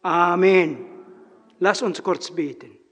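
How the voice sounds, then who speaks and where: A man with a non-native German accent preaching earnestly in a church, telling a personal story in halting phrasing and then praying. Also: sits high, fairly bright tone. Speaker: lay preacher. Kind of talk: sermon with personal story and prayer. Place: church hall. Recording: reverberant hall, dull lo-fi sound.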